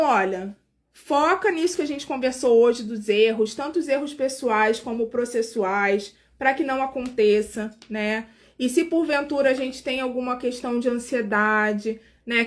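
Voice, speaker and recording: A woman, hosting a casual live stream as a nurse and chatting directly with her viewers, sounding tired. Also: on the high side. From Brazil. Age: 40s. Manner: chatty, warmly addresses viewers directly, frequent fillers.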